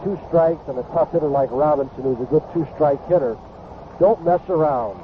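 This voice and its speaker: A man's voice: on the high side, normal speed. A man giving conversational, emphatic color commentary on a live baseball radio broadcast. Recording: noisy phone-like old radio broadcast.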